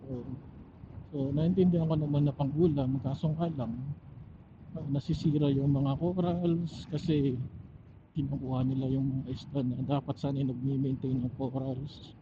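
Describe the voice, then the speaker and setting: A man with a Filipino accent chatting casually on his own in a reflective way, pausing mid-thought, through a motorcycle helmet intercom microphone. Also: mid-range voice, steady tone. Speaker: motovlogger and angler. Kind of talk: casual, rambling solo vlog chat. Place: outdoors, riding a motorcycle. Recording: dull helmet intercom audio, faint noise.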